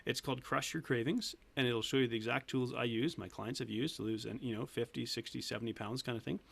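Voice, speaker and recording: Guest coach, man in his 30s, gravelly voice, earnest and chatty, laughs a lot. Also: mid-range voice, fast, a bit bright. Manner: earnest, laughs often, restarts sentences. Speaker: weight loss coach, guest. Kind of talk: casual interview talk.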